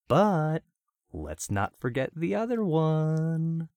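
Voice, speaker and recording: A man's voice: on the high side, big pitch swings, takes it slowly, darkish tone, dry recording, pitched above the performer's own voice, heavily acted. Man voicing a giddy deranged villain. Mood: gleeful, sadistic. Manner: mocking, taunting, laughs often. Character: gleeful sadistic male vigilante, deranged.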